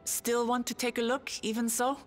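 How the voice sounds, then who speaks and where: An actress playing a warm, caring, upbeat young leader in a video game, with a friendly, plain-spoken manner. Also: female voice, on the high side, little pitch movement, normal speed, faint music behind, close to an everyday voice. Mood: reassuring, upbeat. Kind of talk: scripted video game cutscene acting.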